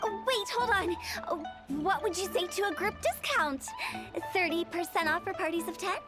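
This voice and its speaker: A woman's voice: very high-pitched, animated, normal speed, over music. A woman playing an eccentric, mischievous young funeral director in a video game, with a theatrical, teasing, persuasive delivery. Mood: pushy sales pitch. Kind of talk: video game character acting.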